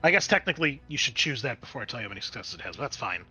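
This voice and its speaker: Bright-voiced man running a tabletop game. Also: mid-range voice, big pitch swings, very fast, husky, soft background music. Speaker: game master.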